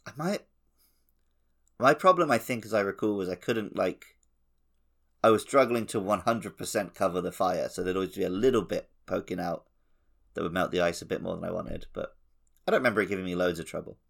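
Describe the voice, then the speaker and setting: A man with a deep voice and plenty of gravelly creak. He speaks as a podcast co-host, chatting casually about gaming. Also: fairly quick, fairly bright tone. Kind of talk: casual video game discussion.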